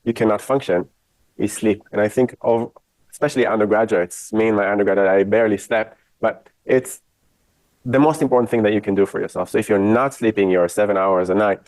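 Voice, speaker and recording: A man's voice: lowish voice, brisk, a little mellow, no echo, low-quality recording. A man from Israel giving a university lecture off the cuff, speaking frankly and giving his own opinions.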